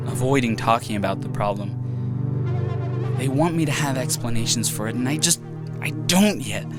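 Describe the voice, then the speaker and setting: A young man playing a pensive student in an audio drama, talking softly and hesitantly to himself in a reflective monologue. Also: mid-range voice, lively intonation, moderate pace, natural voice. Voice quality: soft-spoken, reflective, hesitant. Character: brooding young male student, pattern-seeking loner. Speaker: student character. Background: music.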